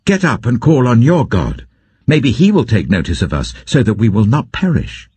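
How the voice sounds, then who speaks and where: A man's voice: mid-range voice, very animated, normal speed, warm tone, slightly rough, no echo, voice pushed up. A man with a British accent voicing an alarmed, urgent ship's captain in a scripture audiobook, exclaiming and pleading.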